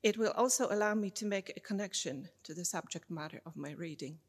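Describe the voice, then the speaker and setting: A middle-aged woman from Poland, giving a measured, formal prepared reading to a church congregation as lay reader, slowing down carefully over names and dates. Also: on the low side. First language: Polish. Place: church sanctuary. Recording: long church reverb.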